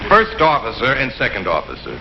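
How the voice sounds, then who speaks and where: A man's voice: medium pitch, huge pitch swings, brisk, vocal fry. An actor playing an eager, officious travel agent with an insistent salesman's manner in a 1960s television comedy sketch. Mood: smoothly promotional. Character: eager, officious airline travel agent man, relentlessly promotional. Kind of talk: 1960s TV variety show comedy sketch acting. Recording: muffled, very noisy 1960s television audio.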